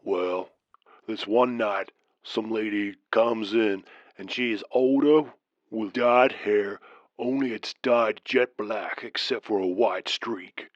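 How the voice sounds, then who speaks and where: A man playing a folksy Southern trucker who calls a late-night radio show, telling an eerie tale in a slow, drawn-out manner. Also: lowish voice. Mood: ominous, reflective. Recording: muffled, roomy sound.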